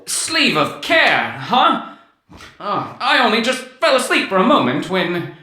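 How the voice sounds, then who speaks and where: An actor playing an anxious, poetic professor in a 1930s radio adventure, with a fretful, literary and theatrical manner. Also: male voice, sits high, huge pitch swings, takes it slowly, bright, forceful tone, full character voice. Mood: drowsy. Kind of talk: 1930s radio drama acting. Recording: echoey, slight background noise.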